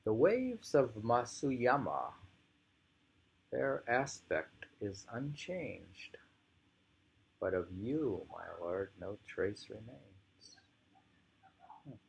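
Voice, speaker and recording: A man reading poetry aloud informally, musing and halting as he adds his own commentary in an unhurried way, on a dull home recording. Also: lowish voice, big pitch swings, vocal fry, slightly rough. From United States. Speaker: poetry reader.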